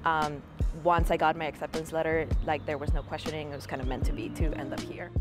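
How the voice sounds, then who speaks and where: A young woman from Peru talks about her studies in an earnest, easygoing way, leaning on "um" and "like" as she thinks. Her voice sits on the lower side for a woman. Music plays clearly behind her. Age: 20s. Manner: earnest, frequent fillers like "um" and "like". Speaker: student interviewee. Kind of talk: casual interview answers.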